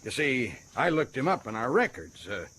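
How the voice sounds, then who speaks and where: An actor playing a folksy, self-important American prison governor in a radio drama, chatty and stammering with excitement. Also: male voice, medium pitch, normal speed, husky, full character voice. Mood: chatty, boastful.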